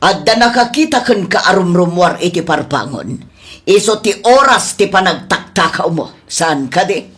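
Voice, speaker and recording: A woman playing a stern female village captain in a comedy drama, admonishing and questioning deliberately. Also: on the low side, expressive, a little hiss or hum, natural voice. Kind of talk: Ilocano comedy audio drama acting.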